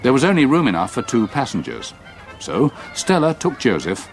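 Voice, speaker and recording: Haunted old Englishman, sweeping pitch. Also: wildly swooping pitch, soft background music. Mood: grave, haunted. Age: older. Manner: grave, deliberate, dramatic delivery. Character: haunted, grief-stricken old English solicitor telling his past. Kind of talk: radio drama, first-person ghost story narration.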